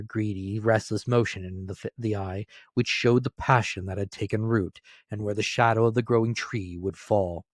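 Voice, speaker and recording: A man's voice: fairly low pitch, darkish tone, dry recording, studio quality. A man narrating a classic story as a dramatic scripted reading. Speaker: narrator, reader.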